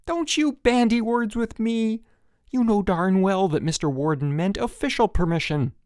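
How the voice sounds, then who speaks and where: A man voicing a hot-tempered young male camp counselor who shouts his orders, in a dry, close recording. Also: high-pitched, big pitch swings, normal speed, dry recording, voice pushed up. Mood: stern. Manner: shouting, bellowing.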